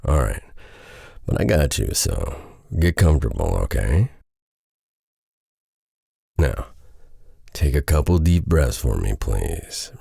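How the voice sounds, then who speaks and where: A man with a very deep voice telling a bedtime story in a hushed, intimate, unhurried, soothing way. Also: big pitch swings, creaky, breathy edge, no room sound, studio quality. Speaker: audio roleplay performer reading a bedtime tale.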